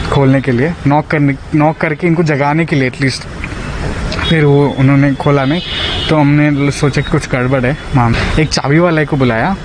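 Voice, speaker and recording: Indian man, slightly high voice, halting account, English phrases mixed in, noisy recorded phone call. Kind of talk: recounting events on a phone call.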